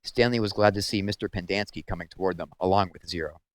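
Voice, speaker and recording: A man's voice: low voice, fast. A man reading a children's novel aloud as storybook narration.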